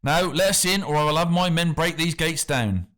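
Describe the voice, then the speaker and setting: A man's voice: on the high side, normal speed, fairly bright tone, voice pushed up, natural voice. A man voicing a male tax factor who speaks affably with an underlying menace. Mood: smooth menacing. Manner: smooth talk turning to snapping threats.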